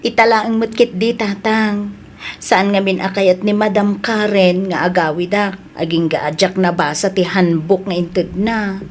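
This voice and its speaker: A woman's voice: mid-range voice, fairly even intonation, measured pace, natural voice. A Filipino woman with an Ilocano accent playing a meek, apologetic young new employee in a comedy-drama, hesitant and deferential. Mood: weary, worried.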